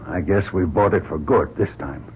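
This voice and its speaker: A man playing a steady, protective astronaut commander with clipped, earnest speech in an old radio drama. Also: deep voice, moderate pace, slightly rough. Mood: grave resolve. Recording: muffled, noisy old radio recording.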